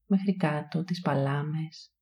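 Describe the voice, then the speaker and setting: A woman leading a guided body scan meditation in a calm, soothing, hushed way, leaving long pauses between short phrases. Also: low voice.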